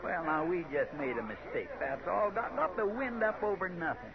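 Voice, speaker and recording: A man's voice: high voice, lively intonation, moderate pace, vocal fry, a loud crowd. A man playing a folksy, talkative, deferential frontier deputy with a drawling rural American accent in a 1950s radio western. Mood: chatty. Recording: muffled, very noisy old radio broadcast.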